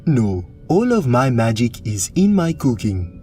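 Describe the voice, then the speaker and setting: Man acting slow-talking, lively avuncular cook. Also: medium pitch, soft background music. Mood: matter-of-fact. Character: jolly, big, cautious avuncular cook. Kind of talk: fantasy audio drama acting.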